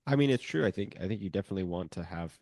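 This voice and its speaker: A man gives casual, halting answers as an interview guest. His voice is on the low side, and the sound is dry with little room in it. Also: lively intonation, darkish tone, dry recording. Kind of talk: casual spoken commentary.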